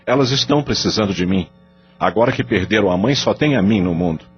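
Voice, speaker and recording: Casting: actor as a guilt-ridden husband, low voice with lively pitch, pleading, dull recording. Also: male voice, fast talker, lightly acted. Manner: pleading and remorseful. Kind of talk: audio drama acting. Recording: dull lo-fi audio with faint music.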